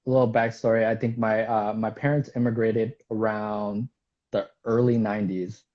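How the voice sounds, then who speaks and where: Easygoing low-voiced man on dull audio. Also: little pitch movement. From United States. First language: Vietnamese. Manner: easygoing, halting, lots of ums. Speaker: guest. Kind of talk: casual conversation.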